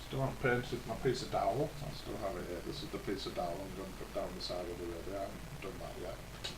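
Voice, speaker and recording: A man's voice: sits low, steady tone, fast talker. British man rambling in an echoey, noisy recording. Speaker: hobbyist video host. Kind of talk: casual DIY vlog explanation. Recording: echoey, clearly noisy home-video sound.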